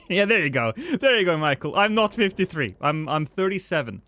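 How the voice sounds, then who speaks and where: A man in his thirties chatting casually and profanely with viewers on a live stream, rambling and joking at his own expense. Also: moderate pace. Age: 30s. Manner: rambling, self-mocking, profane; swings between loud excited bursts and low mumbles.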